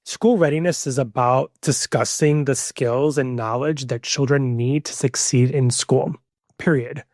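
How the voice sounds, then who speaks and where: A man in his young adult years hosts a podcast on his own, speaking earnestly and circling back to stress what matters. His pitch sits in an ordinary middle range. The recording is close and dry, with no room sound around him. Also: fairly even intonation, measured pace, a little mellow, no room sound. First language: Spanish. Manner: earnest, emphatic, repeats key points. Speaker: podcast host. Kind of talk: solo podcast monologue.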